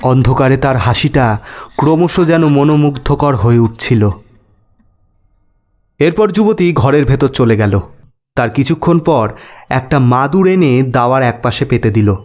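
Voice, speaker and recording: A man's voice: mid-range voice, animated, a little slow, pauses often, darkish tone. A man narrating a scripted ghost story as a storyteller.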